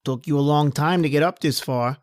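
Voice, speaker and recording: A man's voice: on the high side, a little fast, natural voice. A man playing a stern, suspicious state trooper in an old-time radio drama, clipped and authoritative.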